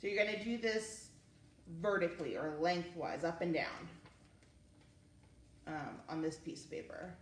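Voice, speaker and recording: A woman's voice: on the low side, lively intonation, unhurried, long pauses. A woman hosting a children's story time and craft session, speaking casually and encouragingly as she talks young children through each step. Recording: roomy, distant mic with faint noise.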